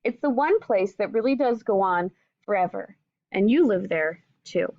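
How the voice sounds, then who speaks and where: A woman's voice: mid-range voice, moderate pace, dry recording, dull audio. A woman reading a children's book aloud expressively, with simple explanatory asides in the manner of a teacher. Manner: expressive, simple phrasing aimed at young children.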